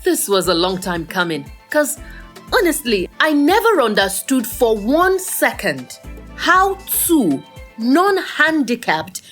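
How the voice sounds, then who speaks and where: A woman's voice: fairly high pitch, sing-song, slow pace, fairly bright tone, soft background music, full character voice. An actress with a Nigerian accent playing a bold, teasing, meddlesome young woman in an audio drama, brash and scolding in an emphatic way. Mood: insistent.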